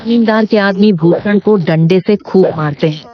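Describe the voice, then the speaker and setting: A woman's voice: mid-range voice, normal speed. A woman narrating a scripted moral story for a cartoon. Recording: dull lo-fi audio with background music.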